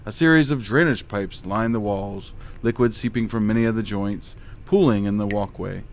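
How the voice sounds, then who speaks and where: An actor playing a clueless, quirky dad detective, narrating in an earnest, scene-setting way for a comic audio drama. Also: male voice, on the low side, moderate pace, slight background noise, close to an everyday voice. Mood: wry narration. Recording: faint music under the voice.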